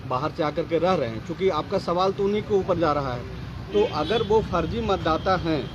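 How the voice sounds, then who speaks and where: A man's voice: on the high side, animated, moderate pace, dull audio, a crowd in the background. A male reporter pressing an interviewee with questions, cutting in to push his points.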